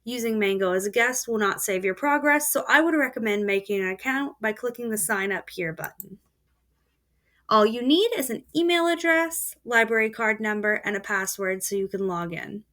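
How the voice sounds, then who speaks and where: A woman's voice: mid-range voice, normal speed. A woman giving a step-by-step voice-over tutorial of a language learning website. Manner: steady explanatory voice-over. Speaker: student presenter. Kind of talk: screen-recorded tutorial walkthrough.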